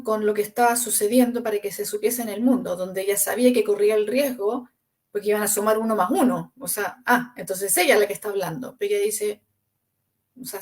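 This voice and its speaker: A woman, a book author, answering in an interview with earnest, flowing storytelling and frequent restarts. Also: medium pitch.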